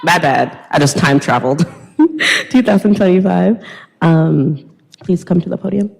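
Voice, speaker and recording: Slow, low-voiced woman emcee in reverberant hall. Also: creaky, slightly rough. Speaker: event emcee. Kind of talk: informal event introductions. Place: recital hall.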